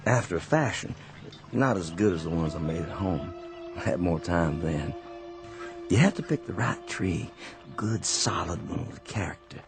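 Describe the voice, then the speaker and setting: An actor playing a gentle, weary man back from war, talking softly in plain folksy words. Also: sits low, animated, moderate pace, over music. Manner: soft-spoken, plain folksy talk. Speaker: actor in a radio drama. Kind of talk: radio drama acting.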